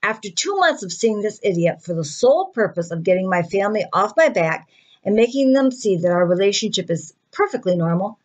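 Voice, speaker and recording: A woman's voice: mid-range voice, lo-fi sound, lightly acted. A woman playing an indignant, defensive, chatty woman who vents with sarcasm in a dramatic screenplay monologue. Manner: sarcastic, exasperated, conversational venting.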